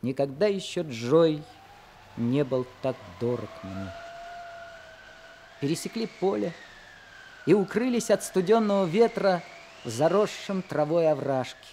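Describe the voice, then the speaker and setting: An actor in a Soviet radio drama playing an earnest teenage boy who narrates his own story in a measured, storytelling manner. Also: fairly high pitch, expressive, mellow, slight background noise.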